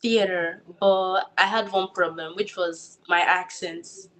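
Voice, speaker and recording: A woman's voice: lowish voice, fairly even intonation, takes it slowly, fairly bright tone, poor audio. A young woman with a Nigerian accent, answering interview questions about acting in a thoughtful way, full of likes and restarts. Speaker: guest actor.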